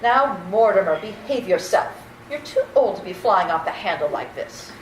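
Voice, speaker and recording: A woman playing a sweet, serenely batty elderly aunt in a radio play, genteel and gently scolding. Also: mid-range voice, lively intonation, moderate pace, recorded from a distance, lightly acted. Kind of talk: old-time radio play acting. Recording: echoey room, off-mic, slight noise.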